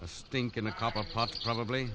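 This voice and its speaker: An actor playing a level-headed male companion in an old radio drama, brisk and reassuring. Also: mid-range voice, fairly even intonation, close to an everyday voice. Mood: wary, blunt. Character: steady, gruff adult male companion, dry-humored. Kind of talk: 1940s radio drama acting. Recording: noisy vintage radio broadcast.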